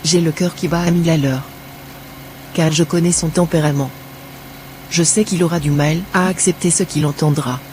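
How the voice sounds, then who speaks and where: A woman playing a guilt-ridden young wife in an animated drama, speaking in an earnest, formal way. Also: deep voice, moderate pace, slight background noise, close to an everyday voice. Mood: remorseful, anxious.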